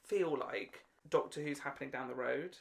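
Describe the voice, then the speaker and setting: Animated, sweary podcast co-host with a mid-pitched voice. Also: moderate pace, gravelly, very noisy. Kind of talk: casual fan chat about television.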